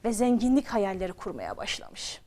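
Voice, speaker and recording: A woman with a medium-pitched voice tells stories to children. She is expressive and draws young listeners in with questions. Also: normal speed. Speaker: children's storyteller. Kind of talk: storytelling addressed to children.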